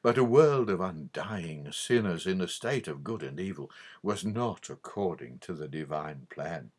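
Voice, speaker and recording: A man reading a theological audiobook in a measured, formal and emphatic manner. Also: deep voice, expressive, husky. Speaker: audiobook reader. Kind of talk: scripted narration of a theological book.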